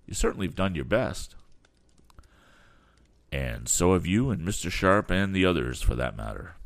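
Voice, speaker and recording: A man's voice: low-pitched, sing-song, moderate pace, gravelly, full character voice. A man voicing an earnest, upbeat young inventor in an adventure storybook, eager and plain-spoken.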